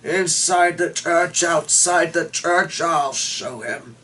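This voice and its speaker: A man's voice: a little high, takes it slowly, sharp tone, voice pushed up. A man voicing an old dying knight, defiant and grumbling, in a declamatory storybook reading. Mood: defiant commanding. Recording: distant mic with noticeable background noise.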